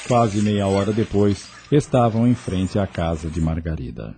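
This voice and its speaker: A man narrating a scripted radio drama in a measured, solemn storytelling style. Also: low-pitched, noisy background.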